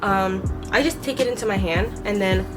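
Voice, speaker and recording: A woman's voice: medium pitch, moderate pace. A young woman vlogging while she does her makeup, rambling with lots of "like" and "um", recovering from being sick. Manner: rambling, many fillers like "like" and "um". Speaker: beauty vlogger. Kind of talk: casual chat while doing makeup. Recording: clear background music.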